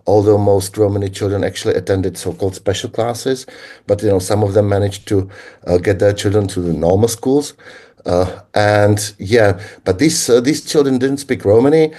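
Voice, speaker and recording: Low, mellow Czech-accented man. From Czech Republic. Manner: reflective, halting, with frequent pauses. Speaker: guest linguist. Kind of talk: conversational interview answers.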